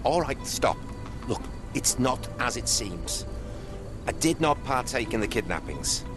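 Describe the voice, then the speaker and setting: An actor playing a defensive, evasive, corrupt Victorian customs officer in a video game. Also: male voice, medium pitch, big pitch swings, measured pace. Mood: pleading. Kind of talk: video game cutscene acting. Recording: music behind the voice.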